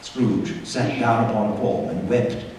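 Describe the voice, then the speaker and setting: A man narrating a radio play as a storyteller, with theatrical, deliberate phrasing. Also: on the low side, fairly even intonation, darkish tone. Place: theatre stage. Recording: echoey theatre hall, distant mic, faint noise.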